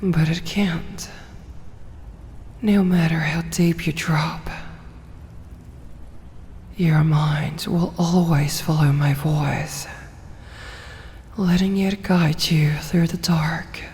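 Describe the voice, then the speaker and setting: A woman's voice: sits low, steady tone, drawn-out words, long pauses, darkish tone. A woman voicing a possessive, seductive vampire in a hypnotic ASMR roleplay, whispering breathily in a coaxing, commanding yet doting way. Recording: long hall reverb, faint hiss and ambience.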